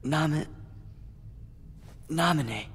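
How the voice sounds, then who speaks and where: A man's voice: on the high side, extremely slow, fairly bright tone, breathy edge, in a large hall, exaggerated voice. A man playing an earnest, hot-headed teenage boy hero in a video game cutscene. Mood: puzzled. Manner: earnest, impulsive.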